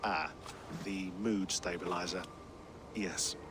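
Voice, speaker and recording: An actor playing a dry, sardonic research teammate in a video game, wry and a little pedantic. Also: male voice, deep voice, steady tone, takes it slowly. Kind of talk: naturalistic video game acting. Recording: clear noise, ambience behind the voice.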